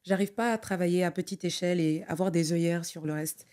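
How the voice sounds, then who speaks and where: A young adult woman who grew up in Togo, an architect talking through her career as a podcast guest, thoughtful and full of pauses and restarts. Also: fairly low pitch, fairly even intonation, warm tone. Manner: thoughtful, many fillers and restarts. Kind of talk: conversational interview.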